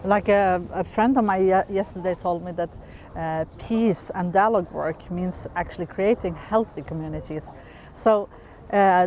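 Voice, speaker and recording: A woman's voice: on the low side, warm tone, slight background noise. A woman interviewer putting questions to her guest in a conversational way. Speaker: interviewer, dialogue expert.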